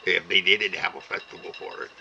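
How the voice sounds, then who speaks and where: An older man with a Cajun accent, talking slowly with many pauses and restarts as he describes his heritage. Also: low-pitched, bright, forceful tone. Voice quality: gravelly, plain-spoken. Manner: halting, with many "uh" pauses and repeated words. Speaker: storyteller sharing heritage. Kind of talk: slow, rambling talk to camera. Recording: dull lo-fi recording with background hiss.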